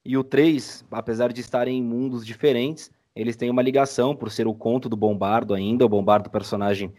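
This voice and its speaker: A man's voice: medium pitch, fairly even intonation, fairly quick, darkish tone. A man speaking as a guest in a casual interview, explaining things informally. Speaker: podcast guest, audio drama creator.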